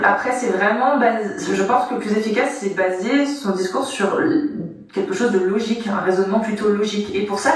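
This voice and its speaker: A woman talking casually in a live online debate, using many fillers. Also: medium pitch. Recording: distant, echoey sound.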